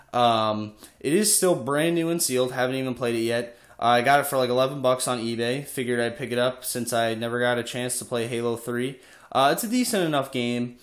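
A young man with a cold and a stuffy nose, chatting casually with lots of "uh" fillers while showing off his game collection in an echoey room at home. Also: mid-range voice. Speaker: video game collector vlogger. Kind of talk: casual show-and-tell.

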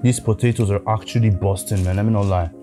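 A man's voice: lowish voice, steady tone, quick pace, over music. A young man from Nigeria with a mixed Lebanese and Nigerian accent, chatting through a food Q&A while eating at a table, on a lapel mic. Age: young adult. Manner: chatty, slangy, eating between answers. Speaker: food vlogger. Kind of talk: casual mukbang Q&A. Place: indoors at a dining table, shelves of glasses behind. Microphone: small lapel mic.

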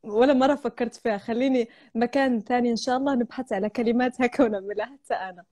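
Fast, chatty Moroccan woman host. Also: a little high, fairly even intonation, mellow. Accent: Moroccan Arabic. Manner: chatty, laughs often while telling stories. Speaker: host of a live stream. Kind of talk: casual live chat. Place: indoor room at home. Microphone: video call, laptop or phone camera.

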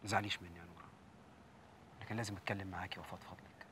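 A man's voice: low voice, steady tone, very fast, background noise, natural voice. An actor playing a troubled young man who earnestly confides his doubts to his beloved, with an Egyptian accent. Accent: Egyptian Arabic. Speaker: Youssef.

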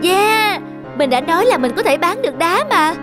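A woman's voice: very high voice, very animated, moderate pace. A woman voicing an eager, proud, childlike little boy in a cartoon. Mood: proud, excited. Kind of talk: cartoon voice acting. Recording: music behind the voice.

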